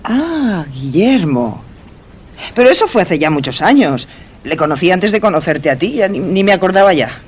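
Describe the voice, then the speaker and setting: An actress with a Castilian Spanish accent playing a playful, evasive wife in a suspense radio drama. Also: female voice, fairly low pitch, very animated, normal speed, breathy edge. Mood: puzzled.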